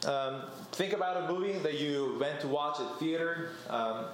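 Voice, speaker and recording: A man's voice: fairly high pitch, moderate pace. A young man giving a chatty sermon as preacher, telling stories and laughing at himself. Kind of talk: conversational sermon. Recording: echoey church room.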